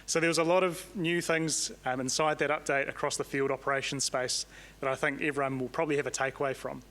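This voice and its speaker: Bright-voiced New Zealand man hosting. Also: medium pitch, fast. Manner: relaxed, frequent ums. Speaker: conference host. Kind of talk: casual presentation. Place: conference hall. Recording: long hall reverb, faint hum.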